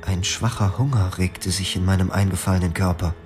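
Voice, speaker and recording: An actor narrating as a young former psychiatric patient, in a quiet, reflective, melancholic tone in a radio play. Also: male voice, low-pitched, steady tone, brisk, over music, lightly acted. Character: young male patient recalling his clinic past. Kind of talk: first-person radio drama narration.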